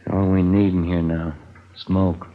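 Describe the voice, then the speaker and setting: An actor playing a weary, grumbling detective partner with a dry, understated American delivery in radio crime drama. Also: male voice, low-pitched, flat delivery, a little slow. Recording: muffled, hissy 1950s radio recording.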